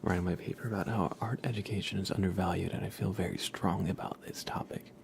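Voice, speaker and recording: A livestream host speaking softly, often in a whisper, chatting casually and gently with viewers in an ASMR style. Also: low-pitched, steady tone, fast, vocal fry, background noise. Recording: music behind the voice. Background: plucked guitar playing.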